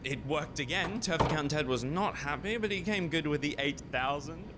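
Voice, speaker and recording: An actor playing a cheerful, boastful lab sidekick in a comic radio play, chirpy and playful. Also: male voice, on the high side, moderate pace, heavy background noise, natural voice. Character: cheerful, boastful male lab sidekick who likes a gamble.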